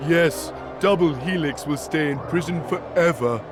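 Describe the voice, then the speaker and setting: An actor playing a big, hearty, simple Gaulish warrior in a cartoon game, blunt and boyishly earnest. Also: male voice, fairly high pitch, measured pace, music in the background, close to an everyday voice. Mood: easygoing.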